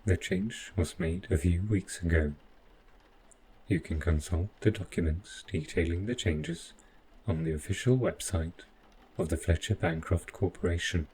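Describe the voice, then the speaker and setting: A man playing an overprotective, stiffly polite robot butler in an audio roleplay, speaking in formal, deliberate phrases. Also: low-pitched, a little mellow, breathy edge, heavily acted, low-quality recording. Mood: calm, formal. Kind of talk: scripted audio roleplay addressed to the listener.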